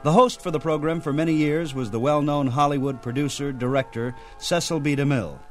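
A man's voice: fairly high pitch, steady tone, brisk. A man hosting a radio program, reading scripted narration in a presenter's style. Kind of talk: scripted narration about old-time radio. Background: theme music.

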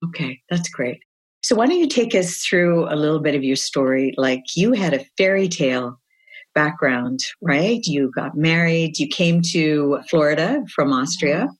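A woman's voice: low-pitched, lively intonation, normal speed. A woman co-hosting an interview, encouraging her guest and checking in with "right?" as she talks. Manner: warm, encouraging, leading questions with "right?". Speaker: podcast co-host. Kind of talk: casual interview chat.

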